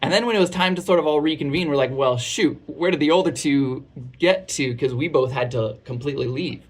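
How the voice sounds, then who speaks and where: A man's voice: a little high, a little fast. A man in his thirties giving a casual product review in a chatty, informal and candid way.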